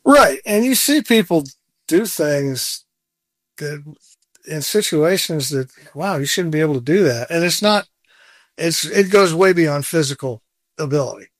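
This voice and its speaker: A man's voice: on the high side, expressive, measured pace, no room sound. A man in his sixties, a guest in a casual interview, talking candidly and with self-deprecating humor, often breaking into a laugh. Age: 60s.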